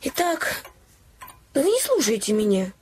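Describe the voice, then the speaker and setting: An actress playing an anxious, kind-hearted young innkeeper's daughter in an audio play, confiding and earnest, telling things with naive expressiveness. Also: female voice, sits high, wildly swooping pitch, a little slow, a little mellow, slightly rough, full character voice. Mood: anxious, yielding. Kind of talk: Russian audio play storytelling.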